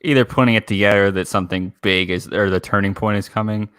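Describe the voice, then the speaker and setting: Male co-host, fairly low pitch, very dry recording, informal fan discussion. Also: fairly even intonation, fast talker, fairly bright tone, smooth, no room sound. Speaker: podcast co-host.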